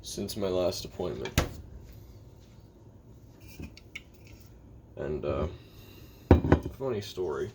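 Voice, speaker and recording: Sardonic assassin played by a man for confessional audio roleplay: heavy gravel and fry, deadpan, halting delivery that still swings in pitch. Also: very noisy, heavily acted. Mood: weary, casual. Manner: halting, deadpan, dryly self-deprecating. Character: weary, sardonic male assassin recording taped memoirs.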